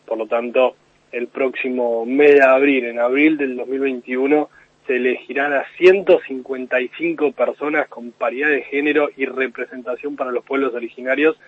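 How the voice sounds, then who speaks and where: A man explaining news analysis to a radio host as correspondent, steady and with frequent restarts. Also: mid-range voice, moderate pace, no echo. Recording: phone-line call into radio.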